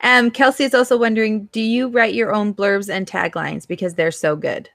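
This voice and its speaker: A woman's voice: mid-range voice, steady tone, moderate pace, creaky, fairly bright tone, no echo, studio quality. An American woman hosting a podcast, asking questions in an informal, chatty way. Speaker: podcast host. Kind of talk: casual interview chat.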